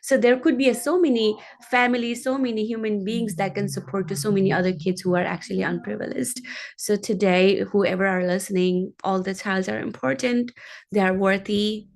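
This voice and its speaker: A woman from Nepal with a Nepali accent, giving a personal advocacy speech in an earnest, halting way as a guest speaker. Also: mid-range voice, steady tone.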